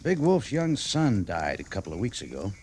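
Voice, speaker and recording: An actor playing a gruff but concerned army major, a commanding officer in an old-time radio western. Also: male voice, on the low side, big pitch swings, moderate pace. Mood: grave. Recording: faintly noisy old-time radio recording.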